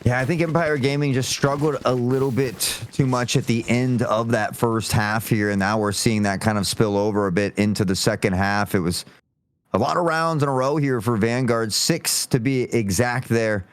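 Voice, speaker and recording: A man's voice: medium pitch, moderate pace, no echo, studio quality. A man giving live analytical commentary on an esports match in a conversational, explanatory way.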